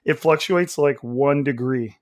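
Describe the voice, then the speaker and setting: A man with a raspy, slightly nasal, hoarse voice hosting a casual sports podcast, talking loudly and with energy. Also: mid-range voice, a little slow, dry recording, studio quality. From Central California. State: hoarse from coaching. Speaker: podcast host.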